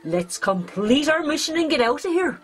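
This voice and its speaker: A woman's voice: sits high, sing-song, moderate pace, pitched above the performer's own voice. Woman playing a hearty, rallying leprechaun king. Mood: urgent resolve. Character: hearty old-world leprechaun king, brave male leader. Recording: music under the voice.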